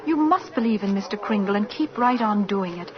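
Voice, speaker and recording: A woman's voice: medium pitch, expressive, brisk, a little hiss or hum, close to an everyday voice. An actress in a 1940s radio play voicing a caring, sensible mother, earnest and tender, on a dull old broadcast recording.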